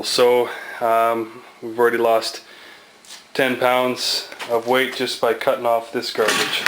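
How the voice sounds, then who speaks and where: A man's voice: mid-range voice, background noise. A man giving a casual, plain-spoken hands-on how-to commentary in informal, slangy language, as a hobbyist showing his own work. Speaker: motorcycle owner demonstrating a DIY exhaust swap.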